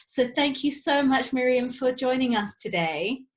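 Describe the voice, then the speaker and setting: A woman's voice: sits high, animated, normal speed. A woman hosting an interview in a casual, informal way, sprinkling in "like" and "sort of". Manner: informal, filler-heavy phrasing with "like" and "sort of". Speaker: host.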